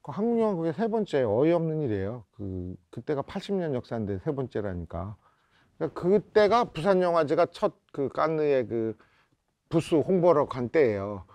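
Halting middle-aged Korean man, dry sound. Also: on the high side, big pitch swings, darkish tone, no echo. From South Korea. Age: 50s. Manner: halting, searching for words while reminiscing. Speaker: film director interviewed about his career. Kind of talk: reflective oral-history interview. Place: indoor interview set before a photo backdrop.

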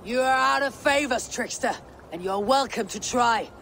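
An actor playing a stern, cunning warrior god in a fantasy video game, speaking in a formal, authoritative manner. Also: male voice, very high voice, normal speed, creaky, pushed and bright, a little hiss or hum, heavily acted. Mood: commanding. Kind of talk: dramatic fantasy game acting.

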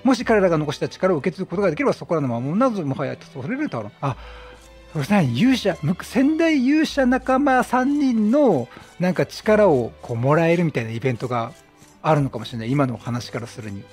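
A man's voice: a little high, big pitch swings, a little fast, a little mellow, soft background music. A talkative man doing chatty, reactive game commentary as a let's play streamer, exclaiming and reading game text aloud.

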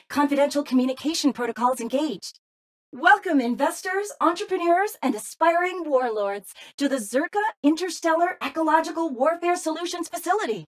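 An actress voicing a polite, formal corporate facility computer that makes scripted public-address announcements in a video game. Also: female voice, high voice. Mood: welcoming, formal.

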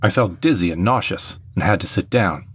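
Deep-voiced man narrating a story. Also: lively intonation, fairly quick, fairly bright tone, slightly rough. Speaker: narrator of an audio drama. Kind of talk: first-person scripted narration.

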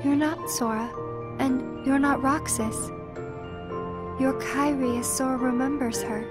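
An actress voicing a gentle, grave young girl in a video game, soft-spoken and measured, with sorrow in her delivery. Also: sits high, fairly even intonation. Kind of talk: dramatic game cutscene acting. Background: loud sad music.

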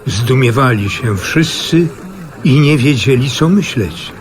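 A man's voice: medium pitch, animated, slow pace, over music. A man narrating a dramatized audio Bible as a scripted storyteller.

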